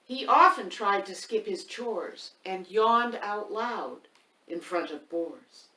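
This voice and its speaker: A woman reading a rhyming picture book aloud, with a lively, sing-song delivery and asides to the listener. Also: medium pitch, slow. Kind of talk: expressive read-aloud storytime. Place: home room. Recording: roomy echo, mic at a distance.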